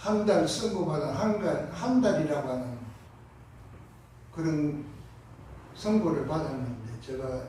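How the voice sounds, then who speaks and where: A pastor with a Gyeongsang accent delivering a Sunday sermon, impassioned, moving between hushed and loud passages. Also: male voice, fairly high pitch, measured pace, pauses often, slight background noise. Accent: Gyeongsang Korean. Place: large church sanctuary. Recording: echoey sanctuary from far off.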